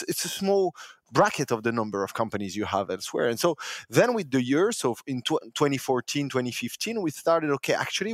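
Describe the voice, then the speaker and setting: A man speaking as an explains things in an interview as a company founder would, talking conversationally. His mid-pitched voice moves up and down with lively intonation, and the sound is dry with little room around it. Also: dry recording. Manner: explanatory, voices imagined quotes, non-native phrasing.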